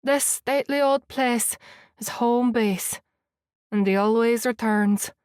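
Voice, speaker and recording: A motherly middle-aged housekeeper with a Scottish lilt, chatty and doting, bustling about her guest, as a woman reading an audiobook puts her on. The voice sits high and lifts up brightly. The sound is close and dry. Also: slow pace, clean tone, dry recording. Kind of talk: audiobook character voice.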